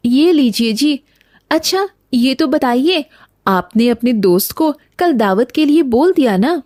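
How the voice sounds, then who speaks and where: Woman acting a high-voiced, fretful cartoon village wife. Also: lively intonation, measured pace, darkish tone, dry recording, clean studio sound. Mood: dutiful. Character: dutiful, fretful young wife of a village woodcutter. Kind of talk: Hindi cartoon story dialogue.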